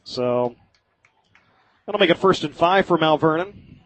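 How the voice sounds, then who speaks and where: A man doing live radio play-by-play of high school football in short, clipped phrases. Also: lively intonation, unhurried, a little hiss or hum. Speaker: play-by-play sports announcer.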